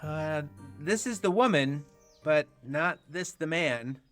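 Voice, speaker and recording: A man's voice: on the high side, lively intonation, slow, a bit bright, faint music behind. A teenage man playing an eager, mischievous fairy servant in an amateur Shakespeare radio play, speaking verse playfully. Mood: matter-of-fact. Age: late teens.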